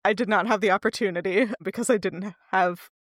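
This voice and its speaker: A woman's voice: mid-range voice, a little fast, no room sound, studio quality. A woman co-hosting a podcast, chatting casually about books in a teasing, playful way and often laughing. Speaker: podcast co-host. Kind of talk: casual book discussion chat.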